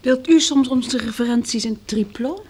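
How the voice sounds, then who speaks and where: A woman's voice: on the high side, normal speed, darkish tone, breathy edge. An actress playing a wry, plucky young woman who survived a catastrophe, in a radio drama. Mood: dry, wry. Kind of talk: Dutch radio drama acting.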